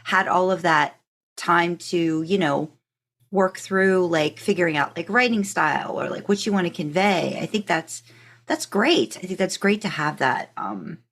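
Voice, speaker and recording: A woman's voice: lowish voice, unhurried, fairly bright tone. A woman hosting a casual podcast interview, encouraging and affirming, thinking aloud as she rephrases her questions. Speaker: podcast host interviewing a guest.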